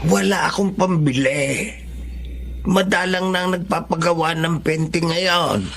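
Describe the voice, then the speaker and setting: Creaky old Filipino grandpa. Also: male voice, high voice, unhurried, fairly bright tone, a little hiss or hum, heavily acted. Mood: wistful. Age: older. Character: cheerful elderly grandfather, a poor veteran painter. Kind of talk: Filipino radio drama acting.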